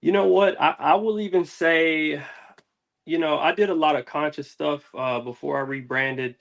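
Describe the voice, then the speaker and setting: A man's voice: on the high side, moderate pace, gravelly. A man, a musician guest, talking casually and reflectively in slangy, candid, rambling interview answers.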